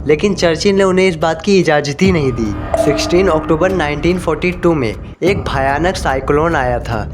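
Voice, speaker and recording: A man's voice: a little high, normal speed, over loud music. A man narrating a scripted history explainer, addressing viewers directly in an explanatory way.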